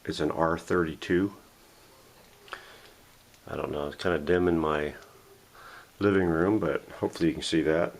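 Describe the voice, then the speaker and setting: A man's voice: deep voice, steady tone, moderate pace, creaky, pauses often, a little hiss or hum. A man hosting a casual, unscripted workshop show-and-tell in a folksy, self-deprecating way, recorded indoors at home on a camera mic. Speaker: host of a vintage radio restoration channel.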